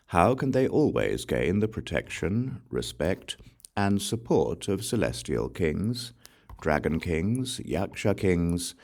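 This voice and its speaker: A man reading scripture as a scripted audiobook, in a measured, solemn recitation with deliberate pauses between phrases. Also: deep voice, gravelly, a little mellow. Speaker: audiobook reader.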